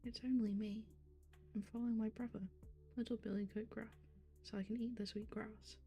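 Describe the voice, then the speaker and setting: A nervous middle-sized billy goat coaxing the troll in his softest voice, as a woman reads him out. Mellow tone, faint music behind. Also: fairly high pitch, level tone, normal speed, breathy edge. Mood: pleading. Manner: soft pleading voice.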